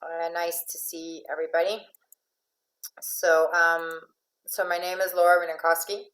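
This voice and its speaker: A woman's voice: low voice, a bit bright, low-quality recording. A woman from Finland, raised in Venezuela, giving a chatty talk as an astrological life coach, with many fillers and restarts. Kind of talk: informal talk to an online audience.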